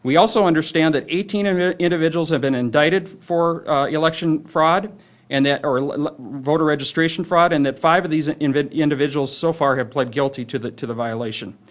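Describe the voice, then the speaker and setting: A man with a slightly high voice hosts a press conference. He delivers a prepared statement formally, with a halting flow as he works through it. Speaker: press conference host and spokesman.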